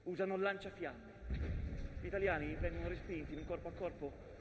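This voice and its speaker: A man plays a proud, anguished mountain watching over trench warfare. The voice is fairly high and declamatory, delivered as a theatrical monologue. It rings through a long reverb with clear background noise. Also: brisk, lightly acted. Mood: grave. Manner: declamatory, swelling from grave narration to outbursts.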